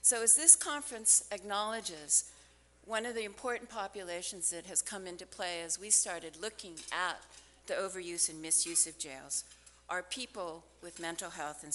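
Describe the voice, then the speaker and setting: An older woman gives a prepared keynote speech at a podium, deliberate and stressing key phrases. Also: lowish voice, fairly bright tone. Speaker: foundation representative giving a keynote. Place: large hotel ballroom at a conference, on stage. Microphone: podium gooseneck microphone. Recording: hall reverb from conference ballroom PA.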